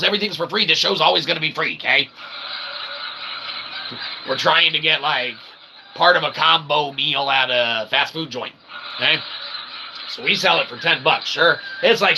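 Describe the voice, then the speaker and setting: A man's voice: mid-range voice, sharp tone, husky, rough recording. A man hosting a vlog in a casual, rambling monologue, slangy and sarcastic with joking asides. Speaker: vlog host and self-published author. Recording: faint background noise.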